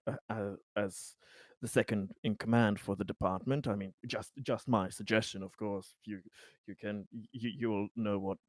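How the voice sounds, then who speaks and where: Man playing a smooth-talking aging political schemer. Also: lowish voice, a little mellow, dry recording, voice pushed down, lightly acted. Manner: smooth, persuasive, hesitant pauses. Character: aging smooth-talking manipulative politician, flattering, self-important.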